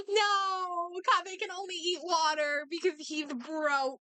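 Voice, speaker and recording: A woman doing casual game stream commentary, reading aloud and reacting in a halting, think-out-loud way. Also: extremely high pitch, fairly even intonation, no echo.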